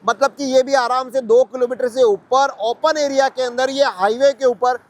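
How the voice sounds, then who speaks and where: High-pitched man presenting a gadget test. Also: steady tone, normal speed. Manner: addresses viewers as friends, code-mixes English words. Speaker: host testing walkie-talkie handsets. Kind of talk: product test vlog, explaining to viewers.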